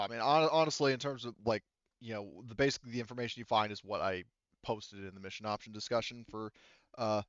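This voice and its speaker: A lower-pitched man with a smooth, clear voice runs a tabletop roleplaying game. He talks casually and haltingly, rewording as he goes, over a dry, slightly dull online-call mic. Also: fairly bright tone, no echo. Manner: halting, rambling, self-correcting. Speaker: game master.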